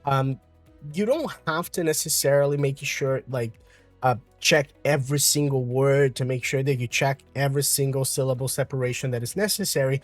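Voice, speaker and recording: A man from Brazil with a Brazilian Portuguese accent, calmly explaining an English lesson with frequent fillers. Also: medium pitch, moderate pace, no echo, faint music behind. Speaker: English teacher.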